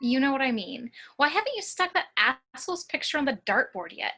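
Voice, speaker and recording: Woman as a bright, bubbly college girl. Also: high-pitched, sing-song, brisk, full character voice. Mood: brash, playful. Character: bubbly brash female college student, newly blonde. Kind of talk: amateur screenplay table read.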